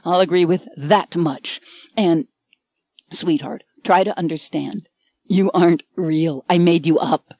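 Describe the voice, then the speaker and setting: A woman's voice: fairly low pitch, takes it slowly, darkish tone, dry recording. A woman voicing a hungover, exasperated male writer who talks in a flustered rush.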